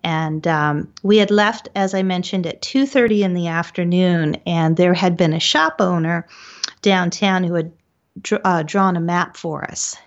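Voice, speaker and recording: Female interview guest telling first-hand stories, fairly low pitch, slightly dulled recording. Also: a little slow, lo-fi sound. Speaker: interview guest, paranormal researcher. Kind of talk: recounting personal paranormal experiences.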